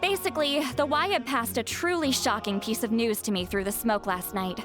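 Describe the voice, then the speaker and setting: Game role: actress playing a worried young shaman, earnest, slightly high voice. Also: normal speed, lightly acted. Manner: worried, earnest, a touch formal. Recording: game audio over soft music.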